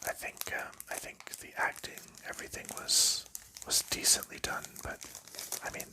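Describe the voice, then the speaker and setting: A man whispering softly through an ASMR board game session, chatting in a rambling, easygoing way while sorting cards. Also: normal speed. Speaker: ASMR host.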